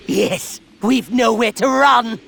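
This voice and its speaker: A man's voice: very high-pitched, vocal fry, noisy background, exaggerated voice. A man playing a ruthless, gloating alien tyrant in an anime game dub, with theatrical, sneering menace.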